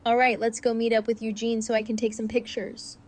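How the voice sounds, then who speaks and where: A woman's voice: a little high, monotone, fairly quick, a little mellow, close to an everyday voice. A woman playing a bubbly, eager young woman in an audio drama. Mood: excited. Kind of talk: fan audio drama acting.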